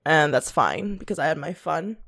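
A woman's voice: sits low, lively intonation, moderate pace, a bit bright, breathy edge. A woman co-hosting a podcast in casual chat, chatty and laughing often. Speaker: podcast co-host.

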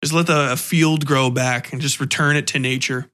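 A man's voice: mid-range voice, fairly even intonation, normal speed, sharp tone. An American man hosting a podcast interview in casual chat, riffing comically and swearing freely.

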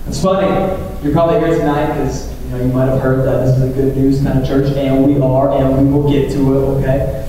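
A man with a hoarse voice preaching an informal, repetitive charismatic church sermon, calling on the congregation to respond. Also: mid-range voice, level tone, brisk, warm tone. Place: large reverberant church room. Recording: echoey hall, distant mic, faint noise.

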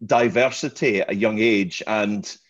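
A man hosting an interview podcast, enthusiastic and quick with affirmations. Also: lowish voice. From Scotland. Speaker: podcast host. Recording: dull lo-fi sound.

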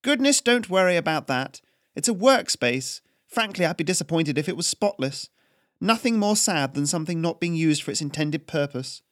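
A man voicing a confident, strident noblewoman who speaks with brisk authority. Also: sits low, lively intonation, dry recording, studio quality, pitched above the performer's own voice. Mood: breezy. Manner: strident, forceful and proper.

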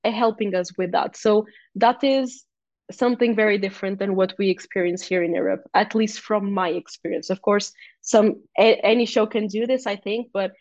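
A woman's voice: mid-range voice, steady tone, normal speed, darkish tone, dry recording, muffled recording. A young woman from Portugal with a Portuguese accent, a guest athlete chatting casually, with many restarts and fillers. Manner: talkative, many restarts and fillers, laughs easily. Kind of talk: casual interview chat.

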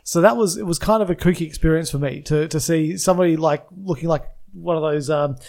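Australian male podcast co-host, gravelly, rambles with lots of ums. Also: on the high side, fast, a little mellow. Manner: rambling, many fillers and restarts. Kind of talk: casual chat.

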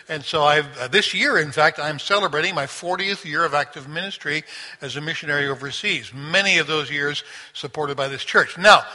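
A man's voice: on the high side, brisk, pushed and bright. A man in his sixties from Sri Lanka, a missionary giving an informal, conversational talk to a church congregation. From grew up in Sri Lanka. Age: 60s. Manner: conversational, storytelling. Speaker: missionary and visiting church speaker. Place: large church room, speaking through a microphone. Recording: long hall reverb.

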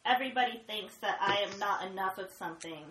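A woman speaking frankly in a casual panel discussion, animated but dropping low and quiet when serious. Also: fast, echoey room, noisy background. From United States.

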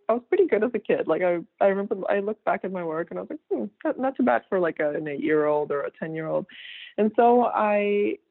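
A woman in her thirties, born in Kazakhstan with Russian as her first language, talks loosely as a guest, full of fillers and restarts. Her voice has a gravelly fry to it, and it comes through a thin, phone-like line. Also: on the low side, no echo. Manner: rambling, frequent fillers and restarts, laughs easily. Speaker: guest artist. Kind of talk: casual interview talk.

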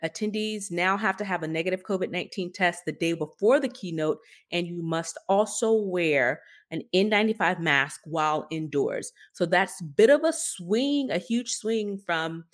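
An American woman co-hosting a podcast, talking through tech news in a conversational style. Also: on the low side. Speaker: podcast co-host.

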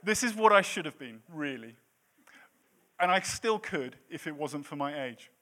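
A man's voice: a little high, expressive, normal speed, pushed and bright. A man in his late thirties preaching a sermon in a church, with a self-deprecating, jokey manner between points. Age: 30s. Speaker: pastor.

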